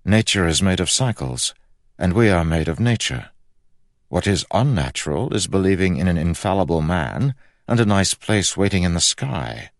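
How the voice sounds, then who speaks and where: A man voicing a calm, skeptical magician in an audiobook, with a dry, reasoned manner. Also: sits low, moderate pace, no room sound, pitched above the performer's own voice. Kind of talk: audiobook character acting.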